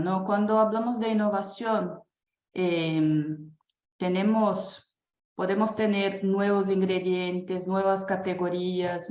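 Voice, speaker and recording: Slow Brazilian-accented woman presenter. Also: lowish voice, dark tone, echoey room. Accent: Brazilian Portuguese. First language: Portuguese. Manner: hesitant, frequent fillers and restarts. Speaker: guest speaker. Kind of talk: webinar presentation. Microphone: video call.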